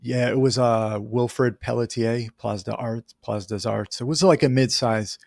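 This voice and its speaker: A man's voice: medium pitch, steady tone, normal speed, gravelly, a little mellow, dry recording, clean studio sound. A man hosting a podcast interview, mostly giving brief replies and short anecdotes. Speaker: podcast host. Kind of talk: casual interview.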